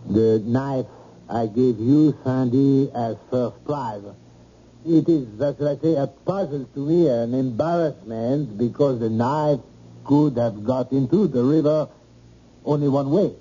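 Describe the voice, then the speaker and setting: An actor playing a polite, hesitant French cooking teacher with a French accent and formal manner in an old-time radio drama. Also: male voice, mid-range voice, a little slow, mellow, close to an everyday voice. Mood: puzzled, apologetic. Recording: muffled old radio recording.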